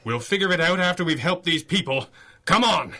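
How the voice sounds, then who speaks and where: An actor playing a brainy, measured superhero detective with formal, articulate speech in a 1930s style radio adventure drama. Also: male voice, high-pitched, lively intonation, background noise. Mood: commanding. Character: masked male superhero detective, brainy, measured, commanding boss.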